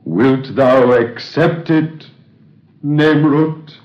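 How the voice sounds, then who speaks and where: A slow, ominous seer. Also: male voice, medium pitch. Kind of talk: 1930s radio drama. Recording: dull old radio recording, roomy.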